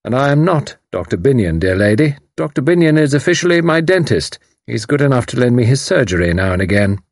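A man's voice: medium pitch, sing-song, brisk, no room sound, studio quality, pitched above the performer's own voice. A man doing a mocking spy commander. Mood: mocking, smooth. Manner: suave, mocking, then grimly threatening. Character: mocking menacing male enemy spy commander.

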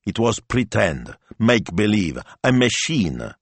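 Man acting grumpy old Italian grandfather, animated pitch. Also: measured pace, creaky, dry recording, exaggerated voice. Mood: flat, dismissive. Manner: flat, grumbling, prone to outbursts.

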